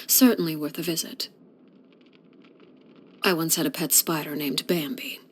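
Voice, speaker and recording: An actress voicing a cold, commanding woman leader in a video game, speaking in a measured, formal, restrained way with a soft, breathy murmur. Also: deep voice, fairly even intonation, a little hiss or hum. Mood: detached, wry. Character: cold, composed commanding woman, a menacing parental crime-house leader. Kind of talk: scripted video game character lines.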